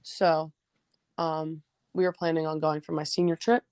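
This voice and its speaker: A teenage girl from New Jersey, a high school basketball player, answering interview questions casually with many fillers; her first language was Greek. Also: level tone, normal speed, a little mellow, smooth, dry recording, studio quality. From New Jersey, USA. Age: teens.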